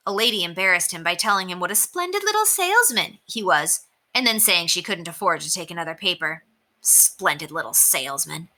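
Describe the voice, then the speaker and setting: Woman teacher, storybook read-aloud, lively pitch, very bright tone. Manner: expressive storytelling. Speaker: elementary teacher. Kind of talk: reading a chapter book aloud.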